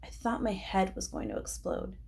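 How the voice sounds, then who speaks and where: A woman's voice: medium pitch, sing-song, moderate pace, creaky, darkish tone, slight background noise. A woman voicing a dramatic, exasperated young schoolboy telling a children's storybook tale. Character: gifted young schoolboy narrator, indignant and dramatic.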